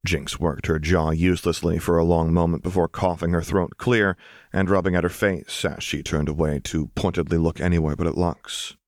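A man's voice: deep voice, level tone, normal speed, no room sound, clean studio sound. A man reading scripted fiction as an audiobook narrator.

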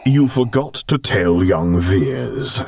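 Man voicing a curt, imposing dark lord, forceful and slow. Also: low voice, very animated, creaky, a little hiss or hum, exaggerated voice. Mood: offhand, stern. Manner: abrupt, offhand, terse.

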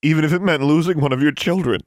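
A defiant, grieving young girl, as a male narrator acts her out, pitched high and full of accusation. The delivery is shrill and emotional, in a dramatic audiobook style with a dry sound. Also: quick pace, no echo, close to an everyday voice. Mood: bitter. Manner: accusing, emotional, rising to shrieks. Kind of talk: dramatic audiobook character acting.

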